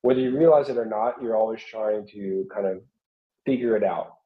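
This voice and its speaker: Low-voiced American man teaching, roomy sound. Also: fairly quick. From South Carolina, United States. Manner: informal, talks through examples. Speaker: cartoonist and art instructor. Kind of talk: explanatory online workshop teaching. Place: small home room, indoors. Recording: roomy, slightly dull webcam audio.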